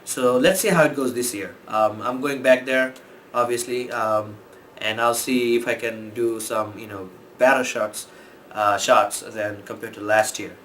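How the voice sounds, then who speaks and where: A man from Bangladesh, a photographer, giving casual tips in a relaxed, rambling way with many fillers and restarts. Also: medium pitch, measured pace, a little hiss or hum. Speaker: photographer and host. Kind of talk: casual explainer talk.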